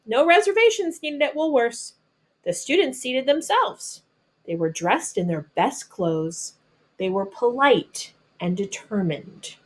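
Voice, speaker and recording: A woman with an American accent reads a picture book aloud like a teacher, pausing to explain things simply and patiently to children. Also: fairly high pitch, animated. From North Carolina, United States.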